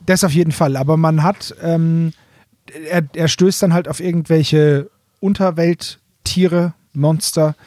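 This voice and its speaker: A man's voice: a little high, fairly even intonation, measured pace, darkish tone, dry recording, clean studio sound. A middle-aged German man co-hosting a podcast in casual fan chat, explaining things and often rephrasing himself mid-sentence. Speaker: podcast co-host reviewing audio dramas.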